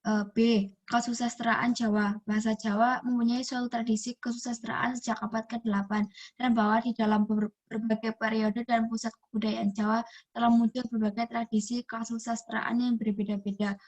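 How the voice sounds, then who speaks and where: A woman's voice: fairly high pitch, little pitch movement, moderate pace. A young woman from Indonesia, a university student reading a class presentation aloud from a text and often restarting words. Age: young adult.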